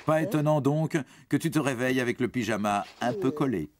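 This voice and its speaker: A man's voice: medium pitch, fairly quick, noisy background. A man giving scripted educational narration for young teens in a reassuring, explanatory manner. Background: background music.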